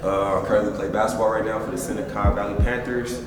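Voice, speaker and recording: A man's voice: on the low side, level tone, fast, recorded from a distance, very noisy. A man from the Bay Area, a gym member talking casually in an interview about basketball and training. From San Francisco Bay Area. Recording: echoey hall with music behind.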